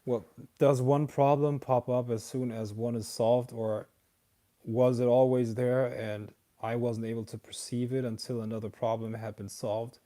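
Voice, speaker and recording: A man's voice: mid-range voice, little pitch movement, measured pace, warm tone. A man hosting a casual livestream Q&A, reading viewer questions aloud and then answering them in an emphatic, lecturing way. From outside America.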